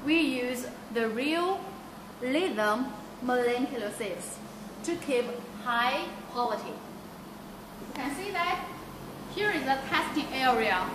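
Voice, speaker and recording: A woman's voice: high voice, lively intonation, slow pace. A young woman guiding viewers through a factory floor and warehouse, explaining each step and pointing things out. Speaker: factory tour guide. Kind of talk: guided factory walkthrough. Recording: echoey factory hall with loud background noise.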